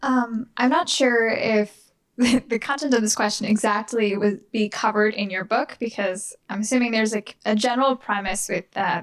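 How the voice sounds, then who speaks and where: A woman's voice: medium pitch, a bit bright. A young woman in the audience asking a question at a talk, hesitant, with many fillers. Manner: hesitant, many ums, nervous laughs.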